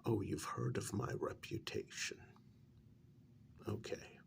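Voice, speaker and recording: Male performer for courteous human go-between in vampire ASMR drama: hushed near-whisper, very heavy vocal fry, measured and frank. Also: fairly low pitch, animated, exaggerated voice. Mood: polite, gentle. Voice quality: breathy, whispery, creaky. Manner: slow, hesitant, polite, measured. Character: calm, courteous, bluntly honest human mediator among vampires. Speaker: ASMR role-play performer. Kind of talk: soft-spoken ASMR role-play.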